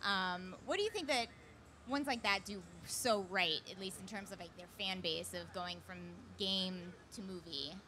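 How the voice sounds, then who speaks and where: A woman's voice: mid-range voice, normal speed, bright, forceful tone. A woman moderating a panel in a casual, conversational way, informal and filling her questions with "like". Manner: informal, peppers talk with "like". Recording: live event floor with background noise.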